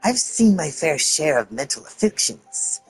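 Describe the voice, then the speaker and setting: A man's voice: fairly high pitch, big pitch swings, unhurried, soft background music, pitched above the performer's own voice, poor audio. A man voicing an advising snake sidekick from a video game, with pronounced sibilant S sounds. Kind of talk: reading video game dialogue in character.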